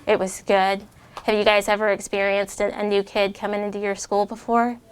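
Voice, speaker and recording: A middle-aged woman reading a rhyming children's book aloud to a class of schoolchildren, pausing to ask the kids questions. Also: medium pitch, smooth. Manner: expressive rhyming read-aloud with asides to kids. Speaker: guest reader and parent.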